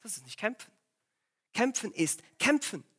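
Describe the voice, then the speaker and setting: Preacher guy with a cold, slightly strained voice, high and swooping, pushy questions at the crowd. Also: very animated, a little slow, fairly bright tone, slightly rough. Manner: urgent, exhorting, asks the congregation direct questions. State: has a cold, voice a bit strained. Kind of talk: sermon.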